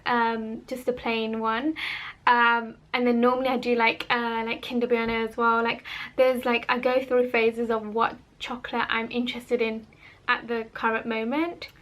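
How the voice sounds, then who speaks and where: A woman's voice: on the high side, a bit bright. A woman, chatting in an informal, rambling way as a vlogger talking about herself. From born in Holland, of Bangladeshi family. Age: 20s. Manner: informal, rambling, self-interrupting. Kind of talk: casual chatty personal vlog.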